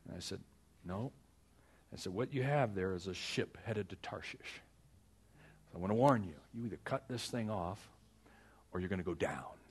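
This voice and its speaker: A man preaching as a pastor, earnest and exhorting, with dramatic pauses, repeated phrases and a husky voice. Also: low voice, expressive. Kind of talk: sermon with personal stories and prayer.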